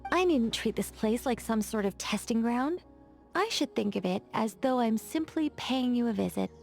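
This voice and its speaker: Wry, teasing female mage for a game, chatty, mock-dignified, slightly high voice, faint music underneath. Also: animated, normal speed, vocal fry, darkish tone. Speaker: game character. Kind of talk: game voice lines.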